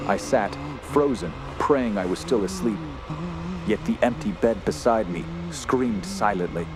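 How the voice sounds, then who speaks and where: Actor as low-voiced, haunted male horror narrator. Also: close to an everyday voice, low-quality recording. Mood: haunted, solemn. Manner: solemn, dramatic, measured delivery. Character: haunted, fearful male wilderness camper telling his tale. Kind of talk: scripted first-person horror narration.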